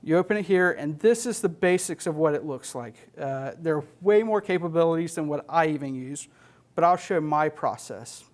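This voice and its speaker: A man in his thirties with an American accent and a slightly high voice gives an instructional presentation. He is plain-spoken and matter-of-fact, explaining things step by step as a technician. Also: moderate pace. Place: indoor studio stage with LED wall.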